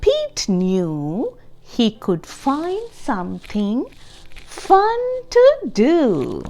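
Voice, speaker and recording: A woman reading a picture book aloud for young children in an expressive, deliberate way, stressing key words and adding explanatory asides and questions. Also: a little high. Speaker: children's storybook reader.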